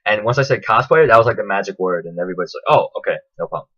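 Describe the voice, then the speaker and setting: A man telling a casual, enthusiastic vlog recap in a chatty, animated way. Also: on the low side, racing, breathy edge, lo-fi sound. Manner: animated, chatty, acts out quotes in raised voices. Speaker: vlogger.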